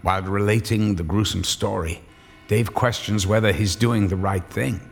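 An actor playing the narrator of a horror radio tale, telling it in a theatrical, measured way. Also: male voice, on the low side, steady tone, creaky, a little hiss or hum. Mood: ominous, wry. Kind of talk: scripted dramatic narration. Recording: long hall reverb, faint music.

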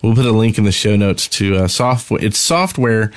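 A man's voice: fairly low pitch, normal speed, clean tone, dry recording. A man co-hosting a podcast in casual, conversational chat. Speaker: podcast co-host.

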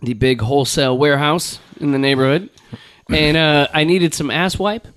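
A man's voice: on the high side, moderate pace, fairly bright tone, clear, resonant tone. A man co-hosting a podcast, rambling in casual, crude, joking chat. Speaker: podcast co-host.

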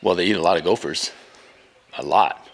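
Deep-voiced man farmer, casual and plain. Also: moderate pace. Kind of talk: casual interview talk.

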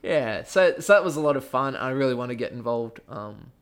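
A man's voice: medium pitch, lively intonation, fairly quick. A man with a watered-down Australian accent, chatting as a podcast guest about his acting and the school theatre program he runs, rambling with plenty of fillers. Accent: Australian, softened. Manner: rambling, with many fillers and restarts. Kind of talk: casual podcast chat.

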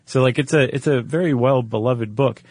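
A man's voice: mid-range voice, moderate pace, darkish tone, dry recording. Man, American accent, informal explainer, podcast co-host, conversational, dry sound.

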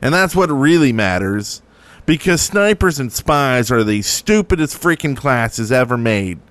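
A man's voice: mid-range voice, lively intonation, a little slow, fairly bright tone, dry recording. A man hosting a podcast in casual chat, reading out listener emails. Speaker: podcast host.

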